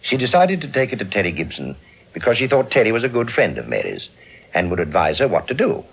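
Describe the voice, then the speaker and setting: An actor playing an authoritative, avuncular British senior investigator in an old radio drama. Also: male voice, lowish voice, huge pitch swings, fast, gravelly, breathy edge, dull audio, full character voice. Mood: measured, explaining. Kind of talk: mid-century British radio drama acting.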